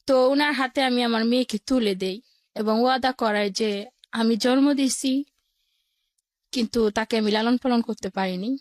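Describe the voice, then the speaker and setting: A woman's voice: a little high, normal speed, no room sound. A woman speaking as an interview guest, telling her own life story in the first person.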